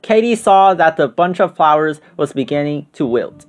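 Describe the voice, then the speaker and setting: A man reading a children's storybook aloud as scripted, expressive narration. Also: fairly high pitch, moderate pace, clean tone, soft background music. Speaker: storybook reader.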